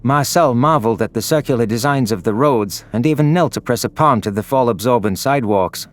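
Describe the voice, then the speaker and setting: A man's voice: mid-range voice, a little fast. A man narrating a scripted first-person science fiction story. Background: background music.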